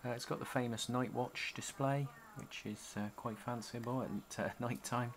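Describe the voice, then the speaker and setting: Bloke doing a hobby gear demo, flat delivery, lots of pauses, faint-ish hiss and noise behind him. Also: male voice, medium pitch. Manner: halting, pausing between short phrases. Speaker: CB radio hobbyist presenting a product demo. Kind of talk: casual product walkthrough. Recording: home camcorder with noticeable background noise.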